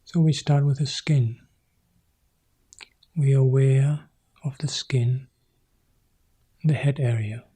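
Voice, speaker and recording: A man leading a guided meditation as a teacher, speaking calmly in short, sparse phrases with long pauses, in a soft, husky, breathy voice. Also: mid-range voice. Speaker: meditation teacher guiding a practice.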